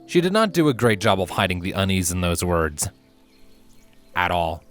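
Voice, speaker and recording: Lively low-voiced man narrating a story. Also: moderate pace, soft background music. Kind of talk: expressive scripted story narration.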